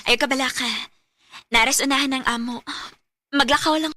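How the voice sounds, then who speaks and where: A woman's voice: high voice, steady tone, moderate pace, sharp tone, dry recording, clean studio sound. An actress playing a shy married woman in a secret affair, speaking hesitantly with a Cebuano Filipino accent in a radio drama. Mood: polite, nervous. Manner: hesitant, coy. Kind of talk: radio drama acting in Bisaya.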